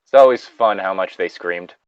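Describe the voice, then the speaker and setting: A man's voice: low voice, moderate pace, smooth, no room sound, dull audio. A man reading a story aloud casually, breaking off into loose, profane banter.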